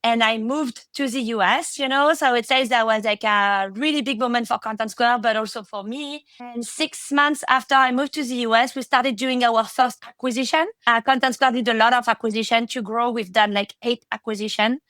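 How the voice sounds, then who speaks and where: Guest voice: French-accented woman, thirties, higher pitch, dry close sound, chatty with lots of fillers. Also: moderate pace, fairly bright tone, dry recording. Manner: chatty, many fillers and restarts. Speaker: podcast guest, product executive. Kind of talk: casual interview talk.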